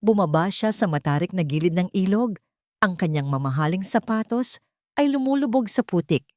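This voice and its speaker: A woman's voice: lowish voice, animated, moderate pace, darkish tone, no echo, clean studio sound. A woman reading a scripted story as a dramatic narrator.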